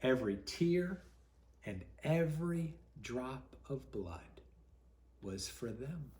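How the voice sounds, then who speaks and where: Expressive storytelling man, animated pitch swings. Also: roomy sound, slight background noise. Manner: dramatic, with deliberate pauses. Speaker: pastor reading a children's story.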